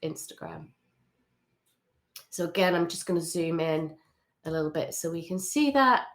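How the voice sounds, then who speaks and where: A woman hosting an interview, giving lively, chatty feedback on branding with frequent laughs. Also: low-pitched, moderate pace. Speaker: host.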